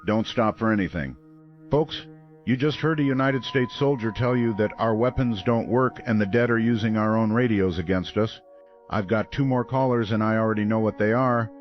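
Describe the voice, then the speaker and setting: An actor playing a grim, weary late-night radio host, speaking gravely and deliberately in an audio horror drama. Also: male voice, on the low side, highs cut off, close to an everyday voice. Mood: grave warning. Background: music.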